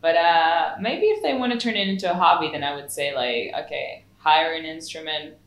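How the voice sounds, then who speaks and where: A woman's voice: deep voice, moderate pace. A young woman, a saxophonist speaking English as a second language, chatting casually in an interview with frequent fillers and laughs. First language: not English. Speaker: saxophonist and music producer. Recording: echoey room with faint noise.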